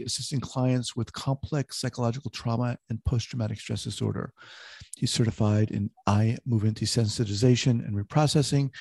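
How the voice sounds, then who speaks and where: A man hosting an interview podcast, with an upbeat, energetic delivery when introducing guests. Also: medium pitch, creaky, dry recording. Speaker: podcast host.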